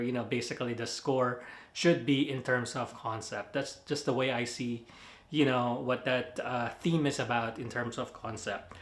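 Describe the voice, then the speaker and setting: A man's voice: medium pitch, a bit bright, echoey room. A man from the Philippines with a Filipino accent, giving a casual spoken movie review with lots of fillers and self-corrections. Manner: rambling, many fillers, laughs at own slips.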